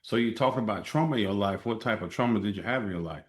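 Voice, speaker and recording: A man's voice: on the low side, fast. A man hosting an interview show, encouraging his guest and asking open questions. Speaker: podcast host.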